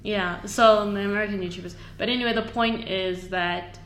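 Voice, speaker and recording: A woman in her twenties co-hosting a casual podcast chat, chatty and quick to laugh, trailing off mid-sentence. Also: mid-range voice, steady tone, fairly bright tone. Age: 20s. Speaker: podcast co-host. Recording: echoey room, faint noise.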